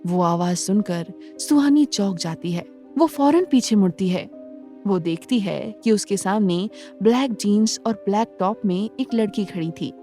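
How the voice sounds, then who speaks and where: A woman narrates a scripted drama with a mellow, dark tone. The pitch sits in a middle range, and faint music plays underneath. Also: lively intonation, unhurried.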